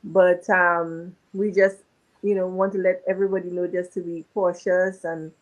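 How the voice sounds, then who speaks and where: A woman's voice: fairly low pitch, flat delivery, moderate pace, muffled recording. A woman, an event organizer answering questions reassuringly. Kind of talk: interview answers.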